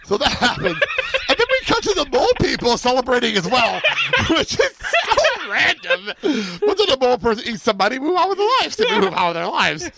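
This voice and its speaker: A man with a high, lively voice chats through an episode recap. His delivery is informal and giggly, with casual swearing. The sound is bright and forward, like a podcast co-host talking off the cuff. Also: brisk, vocal fry.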